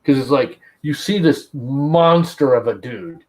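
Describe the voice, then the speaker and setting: A man's voice: medium pitch, a little slow, darkish tone. A man streaming and chatting casually while preparing a 3D print, rambling and swearing as he tells stories.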